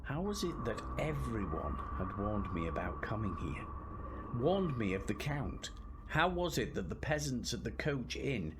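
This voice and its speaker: An actor playing an anxious young gentleman traveller in a radio drama, narrating in an earnest, literary, measured way. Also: lowish voice, big pitch swings. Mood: despairing. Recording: noisy recording with ambient sound.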